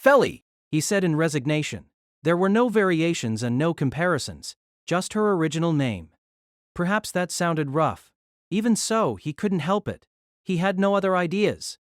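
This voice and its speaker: A man narrating an audio drama in an expressive storytelling manner. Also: a little high, moderate pace, no echo, studio quality.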